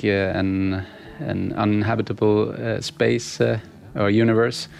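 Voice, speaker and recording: Swedish guy, low gravelly voice, lots of uhs and restarts, faint guitar behind. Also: flat delivery, a little mellow. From Sweden. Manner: halting, many fillers and restarts. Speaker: musician guest. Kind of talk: casual interview.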